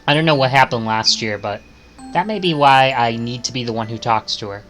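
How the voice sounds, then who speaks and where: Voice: mid-range voice, a little fast, a bit bright, faint music behind. A streamer reading visual novel text aloud and adding casual commentary.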